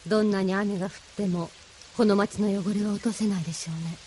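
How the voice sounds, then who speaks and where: An actress plays an idealistic woman mentor in a Japanese audio drama. She speaks in a solemn, persuasive, feminine manner at a mid-range pitch. Faint rain and hiss sit behind her. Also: fairly even intonation, fast, a little mellow, natural voice. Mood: pensive. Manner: didactic, feminine speech, solemn.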